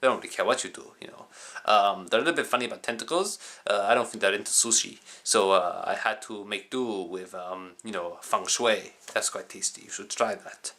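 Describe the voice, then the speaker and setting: A man playing a deadpan self-styled samurai. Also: on the low side, fairly quick, hardly any pauses, dry recording. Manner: deadpan, rambling, with sudden crude outbursts. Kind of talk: improvised character comedy to camera. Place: outdoors on city streets. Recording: loud street and traffic noise.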